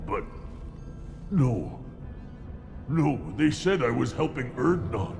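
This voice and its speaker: A man voicing a wounded, brainwashed alien brute scout in a video game, earnest and unsure of himself, speaking in halting, labored phrases. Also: big pitch swings, a little mellow, heavily acted. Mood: rising resolve. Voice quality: deep, gravelly. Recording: music behind the voice. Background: music.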